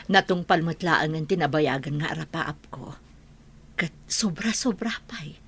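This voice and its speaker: Tender low-voiced widowed bride, radio drama. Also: female voice, expressive, a little slow, fairly bright tone, breathy edge, heavily acted. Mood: tender, earnest. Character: widowed bride Aurelia, tender, grateful, newly happy after grief. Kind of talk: Ilocano radio drama acting.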